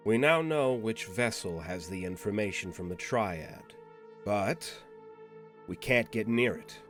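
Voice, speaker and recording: A man playing a formal, cautious subordinate agent in an audio drama, talking in a measured, questioning manner. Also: lowish voice, animated, fairly bright tone, soft background music. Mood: measured, grave. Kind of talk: scripted audio drama roleplay.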